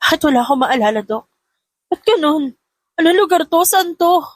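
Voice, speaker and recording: A frightened young woman who has lost her memory, acted out by a female narrator in an audio drama. Her high voice is distressed and pleading, in a close, dry recording. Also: lively intonation, moderate pace, no room sound. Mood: desperate.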